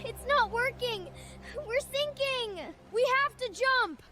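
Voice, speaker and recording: A performer voicing a young child in an animated adventure, with an urgent, exclamatory delivery. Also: extremely high pitch, slow pace, music in the background, exaggerated voice. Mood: panicked, urgent. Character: frightened, urgent young cartoon child at sea.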